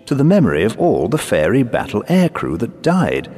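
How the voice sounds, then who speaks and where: A man's voice: lowish voice, wildly swooping pitch, a little mellow, husky, soft background music, voice pushed up, full character voice. A man voicing an older, courteous, puzzled former air force pilot in a story. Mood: faltering, explaining. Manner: formal, halting, explanatory.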